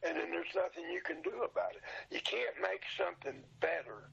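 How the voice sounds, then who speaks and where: An older man, past sixty, with a gravelly, husky voice, talking casually and plainly as an interview guest, explaining things informally. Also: a little high, very animated, brisk, fairly bright tone, slight background noise. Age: 60s or older. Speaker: interview guest, old radio show collector and seller. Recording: dull lo-fi sound.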